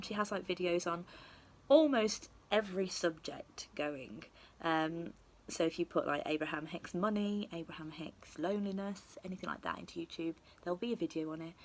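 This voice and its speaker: A young woman who is losing her voice, husky, talking candidly to camera with lots of ums. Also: on the low side, slight background noise. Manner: candid, rambling with many ums. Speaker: beauty vlogger. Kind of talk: casual talk to camera.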